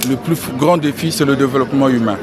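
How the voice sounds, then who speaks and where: A man from the Republic of the Congo giving an outdoor press interview, speaking in a measured way and repeating key phrases. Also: medium pitch. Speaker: interviewee, financial engineering expert. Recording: crowd noise behind.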